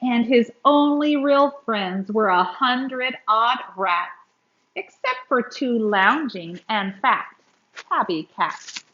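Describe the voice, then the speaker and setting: A woman reading a children's story aloud, expressively, with dramatic emphasis and drawn-out words. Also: fairly high pitch, animated, a bit bright, echoey room, dull audio. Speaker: children's book reader.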